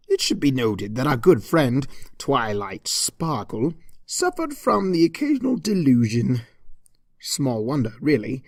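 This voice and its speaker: An arch, theatrical narrator reads a comedic story with mock pomposity. The pitch swoops widely from phrase to phrase, and the sound is dry, with very little room in it. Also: gravelly, darkish tone, no room sound. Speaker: fan fiction reader.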